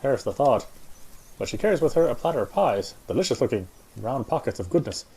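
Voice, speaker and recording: A man's voice: mid-range voice, sing-song, a little fast, dark tone, breathy edge, poor audio. A man reading first-person visual novel narration aloud.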